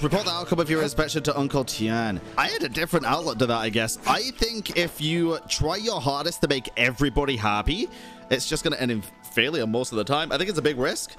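A man's voice: mid-range voice, fairly bright tone. A young man giving casual, informal, sweary live commentary as a game streamer on a close mic. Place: indoor streaming room. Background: faint game music.

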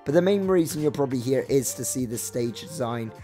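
A young man with a croaky voice narrating a casual walkthrough of a Minecraft build, chatty and rambling. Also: medium pitch, lively intonation, fairly quick, dark tone, soft background music. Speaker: Minecraft builder showing his map.

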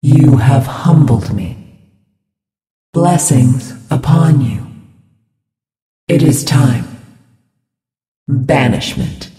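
A woman playing a serene, devout female spirit healer in a video game, speaking in a measured, ceremonious, pious way. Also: rumbling low, expressive, dark tone, far from the mic, exaggerated voice. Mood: solemn, judging. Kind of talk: video game character voice lines. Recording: reverberant hall effect, music behind.

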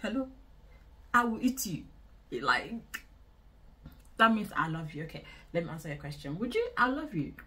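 A woman in her twenties with a Nigerian accent, chatting casually to camera in an indoor vlog, expressive and repeating phrases for emphasis. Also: medium pitch, normal speed, pauses often. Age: 20s. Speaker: vlogger. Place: small indoor room.

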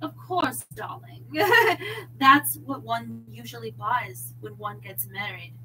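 A woman voicing a suave, smooth-talking British man with a velvety, purring delivery in an audiobook story. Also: on the high side, takes it slowly, bright, forceful tone, slight background noise, low-quality recording. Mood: smooth, amused.

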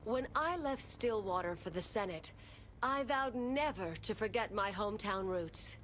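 A woman's voice: mid-range voice, lively intonation, unhurried, a bit bright, slight background noise. A woman voicing a proud widowed senator in a video game, giving a solemn, polished public speech. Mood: solemn pride. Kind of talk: formal political speech in game cutscene.

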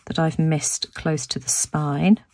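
A woman narrates a craft tutorial at her home table, her voice low and a little croaky. She talks casually and thinks aloud, often doubling words as she goes. The husky sound is close and dry. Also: fairly even intonation, slow pace, a little mellow, dry recording. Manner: chatty, thinking aloud with restarts. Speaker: craft video host.

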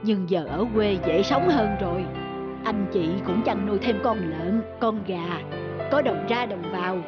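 A humble older relative from the countryside in an animated family drama, voiced by a woman. The delivery is homely, generous and reassuring. Music runs underneath. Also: mid-range voice, a little slow, muffled recording, natural voice.

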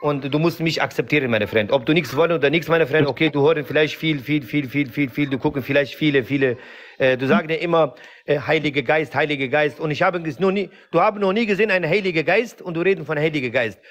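A man's voice: wildly swooping pitch, takes it slowly, long pauses, husky, exaggerated voice. A man doing a cocky evasive guy in broken German. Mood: proud. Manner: broken, ungrammatical German, repeats "mein Freund"; defiant, evasive, swings from high indignant to low mutters. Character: cocky self-proclaimed freemason man, evasive. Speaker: comedy character in an interview sketch. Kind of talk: improvised comedy sketch.

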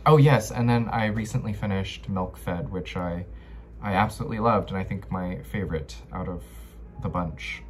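A man's voice: low voice, moderate pace, slight background noise. A man in his twenties giving a casual, playful book review to camera in slangy, chatty language from his bedroom. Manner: playful, slangy, with frequent "like" and trailing emphasis. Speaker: book vlogger. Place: small bedroom, indoors.

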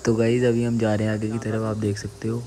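Young male travel vlogger, lowish flat voice, casual, handheld outdoor recording. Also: fairly quick. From Jammu, India. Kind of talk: casual travel vlog commentary. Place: outdoors, on motorbike and hillside trail. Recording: noisy outdoor motorbike and trail recording.

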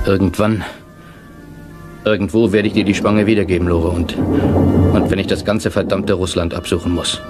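An actor playing an anxious, lovesick German soldier in a radio drama, hesitant and pleading. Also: male voice, low-pitched, little pitch movement, moderate pace, pauses often, loud music behind the voice, close to an everyday voice. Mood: sorrowful resolve.

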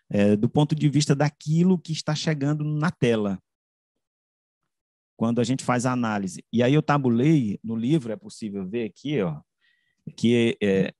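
A man with a Brazilian accent presents his research from slides in a mid-range voice. He speaks haltingly, restarting and correcting himself and checking in with short tags. The sound is dry, on a video call. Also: medium pitch, a little mellow, no echo. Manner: halting, with frequent self-corrections and tag questions. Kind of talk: academic slide presentation, semi-improvised. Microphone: computer mic on a video call.

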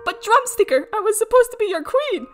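An imperious, lovesick dragon queen played by a woman in a comic fan story. The voice shoots up high and loud, swooping with overblown melodrama, over clear background music. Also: expressive, a little fast. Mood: melodramatic despair.

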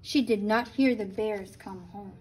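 A woman reading a children's storybook aloud in an expressive way, with brief asides. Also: medium pitch, a little slow, slight background noise. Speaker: storybook reader.

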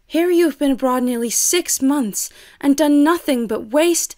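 A woman's voice: high-pitched, expressive, unhurried, darkish tone. An actress playing a proud, outspoken, ambitious young lady in an audio drama. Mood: indignant. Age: young adult.

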